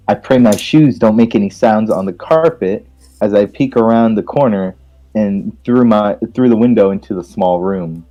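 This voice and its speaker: A man's voice: fairly low pitch, mellow, low-quality recording. A man reading game text aloud and reacting casually, playful and stumbling over lines.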